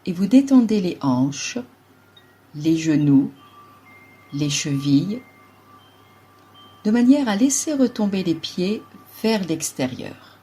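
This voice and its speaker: A woman guides a relaxation meditation as a yoga nidra teacher, giving calm, measured instructions in short phrases. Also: fairly low pitch, husky, soft background music.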